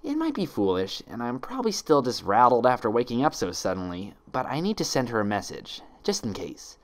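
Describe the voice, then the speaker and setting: A man's voice: mid-range voice, wildly swooping pitch, brisk. A man voicing an earnest, bookish young female unicorn in a storybook, speaking carefully and with worry. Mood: uneasy.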